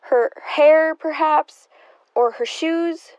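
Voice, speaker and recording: A prying, sardonic interrogator from a radio drama, acted by a woman. The voice is high, with wry, pointed questions full of insinuation. The recording is dry and slightly dull. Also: drawn-out words, a little mellow, no room sound. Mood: probing, skeptical. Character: nosy, sardonic, probing interrogator of a murder suspect's sister. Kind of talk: theatrical radio drama acting.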